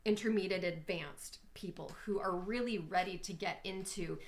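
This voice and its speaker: A woman's voice: fairly low pitch, normal speed. A woman in a casual coaching talk, chatty and thinking aloud with lots of fillers. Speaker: business owner being coached.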